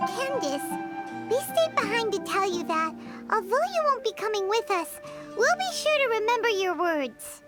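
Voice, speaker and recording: A tiny video game fairy sidekick with a very high, squeaky, childlike voice. She is cheeky and excitable, and her pitch swoops wildly over prominent music. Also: moderate pace. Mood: earnest. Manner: exclamatory, refers to self in third person. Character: small floating fairy companion girl, excitable, childlike, cheeky. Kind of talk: anime-style video game character acting.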